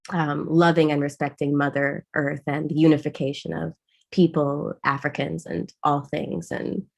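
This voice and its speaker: Low, gravelly-voiced woman from Zimbabwe. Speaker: songwriter. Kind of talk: conversational interview.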